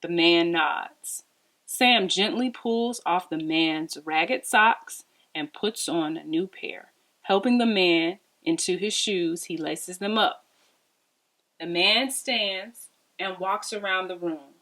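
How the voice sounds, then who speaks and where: Unhurried woman reading a children's storybook. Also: on the low side, fairly bright tone. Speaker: read-aloud storybook reader. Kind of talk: expressive children's storybook narration.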